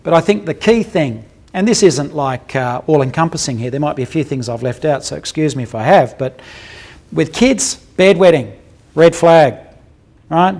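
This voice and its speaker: A man's voice: a little high, animated, normal speed, gravelly, darkish tone. A man giving a clinical dental lecture built around case examples, talking bluntly and colloquially, cracking jokes and putting questions to his audience.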